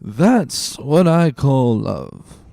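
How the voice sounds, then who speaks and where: A man's voice: medium pitch, big pitch swings, extremely slow, vocal fry, dark tone, dry recording, studio quality, pitched above the performer's own voice, exaggerated voice. A man voicing a tipsy, good-natured, boastful drunkard in a slurred, rambling drawl for a dramatic reading.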